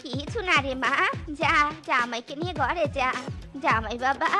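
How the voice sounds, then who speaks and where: A scheming older cartoon fox mother-in-law, acted by a woman, high in pitch. She shifts between resentful muttering and mock sweetness, with music behind her. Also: normal speed, close to an everyday voice. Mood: falsely sweet. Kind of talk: Bengali animated comedy story dialogue.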